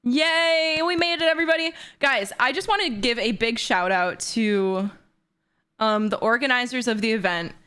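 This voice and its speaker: Bubbly high-voiced young woman streamer. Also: moderate pace, clear, resonant tone. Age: 20s. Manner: bubbly, excitable, squealing. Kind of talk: casual livestream chat. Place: her bedroom.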